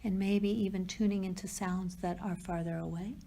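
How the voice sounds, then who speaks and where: A woman leading a guided yoga nidra meditation as a teacher, speaking in slow, soothing, unhurried phrases with pauses between them. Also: mid-range voice, fairly even intonation, gravelly, warm tone.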